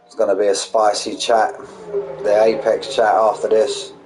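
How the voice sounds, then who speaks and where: A young man with a hoarse, fading voice, calling an online sim race live with quick, excitable play-by-play and chatty asides. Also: fairly low pitch. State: losing his voice, hoarse. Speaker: sim racing league commentator. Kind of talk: live race commentary streamed. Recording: noisy streamed audio.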